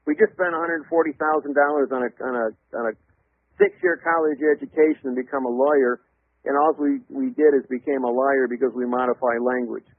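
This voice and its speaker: A man calling in as a radio guest, lecturing emphatically in a loud voice and spelling out words letter by letter. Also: medium pitch, fairly quick, dry recording. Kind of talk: radio call-in interview. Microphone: telephone. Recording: tinny phone line.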